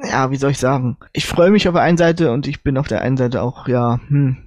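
A man's voice: mid-range voice, steady tone, a little fast, darkish tone, no room sound. A man co-hosting a casual panel chat about audio dramas, hesitantly searching for his words as he gives opinions. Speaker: podcast co-host.

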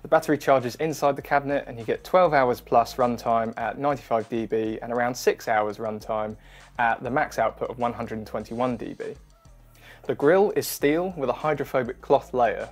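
A man in his thirties presenting a scripted product demonstration in a clear, instructional manner. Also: medium pitch, moderate pace. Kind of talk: scripted product review narration. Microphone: wireless lavalier or close studio mic. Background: background music.

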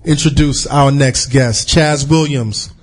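A man's voice: mid-range voice, steady tone, slow. A man hosting a casual studio podcast interview, talking loosely with many restarts and repeated words. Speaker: podcast host.

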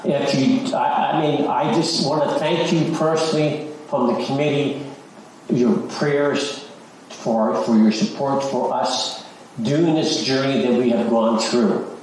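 A middle-aged or older man addressing a church congregation as a lay leader, halting and repeating words. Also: medium pitch, steady tone, darkish tone. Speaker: church lay leader and search committee chair. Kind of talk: church announcement and short devotional to a congregation. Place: large church sanctuary, indoors. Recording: echoey church, distant microphone, background noise.

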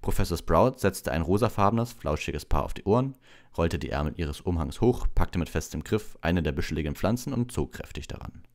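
A man narrating an audiobook in a relaxed bedtime-story style. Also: low voice, a little fast. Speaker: audiobook reader.

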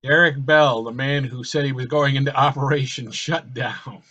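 A man calling a simulated baseball game in casual play-by-play, his voice slightly raspy from a cold, rambling and correcting himself as he goes. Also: medium pitch, steady tone, moderate pace, bright, forceful tone, muffled recording. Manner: rambling, with fillers and self-corrections. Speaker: host playing a baseball sim.

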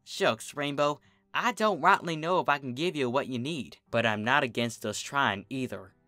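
A man's voice: medium pitch, normal speed, bright, forceful tone, no room sound, natural voice. A man voicing a humble, kindly female farm pony. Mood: humble, doubtful. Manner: folksy, soothing, plain-spoken.

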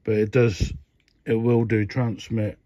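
A man's voice: fairly low pitch, flat delivery, a little slow, warm tone, no room sound. Older man, rambling workbench demo. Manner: rambling, many fillers and restarts. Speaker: radio hobbyist showing a modification. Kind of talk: casual hands-on demo. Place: indoor workbench. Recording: dull, dry lo-fi phone audio.

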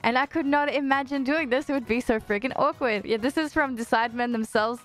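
Young woman streamer, casual reaction chat, giggly, bouncy higher voice, music playing underneath. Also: brisk, a bit bright, poor audio. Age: young adult. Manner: chatty, giggly, lots of "like" and "um". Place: streaming room with purple lights. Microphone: studio mic on boom arm, wearing headphones.

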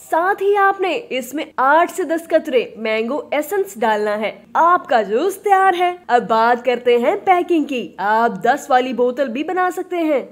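A woman narrates a business tutorial from a script. Her voice sits high, and she walks through each step in an upbeat, instructive manner. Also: expressive, unhurried, hardly any pauses.

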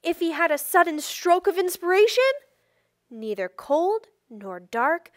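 A woman reading a children's picture book aloud expressively, with deliberate phrasing and emphatic stresses. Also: very high voice, sing-song, no room sound, studio quality. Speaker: storybook reader.

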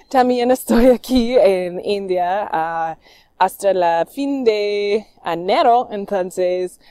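Woman, low mellow halting vlogger, dry. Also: no echo. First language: English. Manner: halting, many fillers, warm well-wishing. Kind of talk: casual vlog talk.

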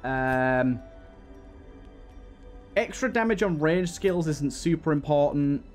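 A man's voice: on the high side, slow, soft background music. A man hosting a casual let's play, talking to the game's characters and repeating his phrases as he goes. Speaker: gaming host.

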